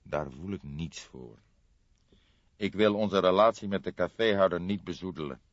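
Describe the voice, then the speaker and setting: A man voicing a calm, sombre old police inspector in an audiobook, speaking in a measured, thoughtful way. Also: deep voice, no room sound, dull audio, lightly acted. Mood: cautious, reasoning.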